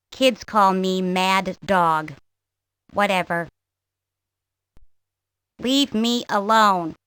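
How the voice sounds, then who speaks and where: A woman voicing a bored, aloof, grumpy young girl in a video game, deadpan and reluctant to talk. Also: mid-range voice, clean tone, no echo. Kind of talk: video game character introductions.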